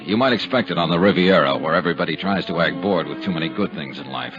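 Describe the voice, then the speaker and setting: An American actor playing a tough, dry-witted insurance investigator in a 1950s radio drama, clipped and sardonic. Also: male voice, low voice, animated, fast talker, over loud music. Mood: wry narration. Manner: clipped, hard-boiled, sardonic. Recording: muffled 1950s radio recording.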